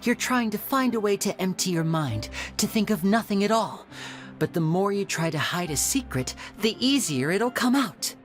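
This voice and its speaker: A man's voice: sits high, expressive, normal speed. A confident young magician from a video game. His light, youthful voice swings expressively through theatrical, playful phrasing, with faint music underneath. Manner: theatrical, articulate, teasing. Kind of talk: video game character acting.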